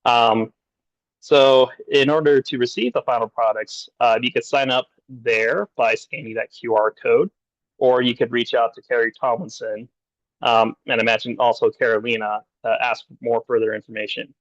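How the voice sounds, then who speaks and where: A man's voice: mid-range voice, steady tone, normal speed, dry recording, muffled recording. A young man in his twenties, a graduate student wrapping up a presentation and reading through lists with fillers. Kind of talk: presentation wrap-up.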